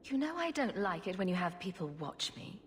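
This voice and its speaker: A woman's voice: medium pitch, big pitch swings, brisk, lightly acted. An actress playing a confident, wry young woman adventurer in a video game. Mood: wry, familiar. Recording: clear background game noise.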